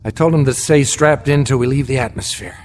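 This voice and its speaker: A man's voice: medium pitch, fairly quick, a little mellow, lightly acted. A man playing a determined family man on the run in a radio drama. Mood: relieved wonder. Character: determined family man escaping a doomed planet.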